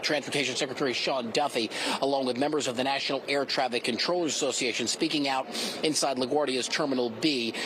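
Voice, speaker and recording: An American man delivering a live television news report from the field in a narrating reporter style. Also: mid-range voice. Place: airport, reporting on location. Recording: clearly noisy, vehicle sounds.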